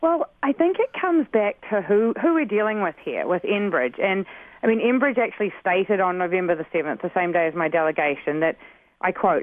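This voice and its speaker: A man from New Zealand with a New Zealand accent, speaking earnestly and with frustration as a community spokesperson calling in to a radio show. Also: high voice. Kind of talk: earnest phone-in interview. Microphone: phone. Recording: tinny phone line.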